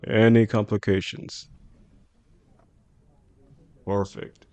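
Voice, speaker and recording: A man playing a composed, caring CEO boss with a secretly obsessive streak in an intimate audio roleplay, speaking in a measured, formal, reassuring way. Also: on the low side, level tone, darkish tone. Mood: composed, approving. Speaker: voice actor in a roleplay audio drama. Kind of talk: intimate scripted roleplay audio addressed to the listener.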